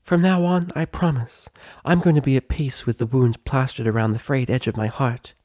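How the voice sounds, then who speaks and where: A man's voice: medium pitch, steady tone, moderate pace, a little mellow, no echo, clean studio sound, voice pushed up, lightly acted. A man voicing a bubbly, talkative young female cartoon pony in a story reading, with gushing run-on speech. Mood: solemn, anguished. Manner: gushing, rambling, endless run-on chatter. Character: hyperactive, chatty, bubbly young female pony party girl. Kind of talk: animated fan fiction character reading.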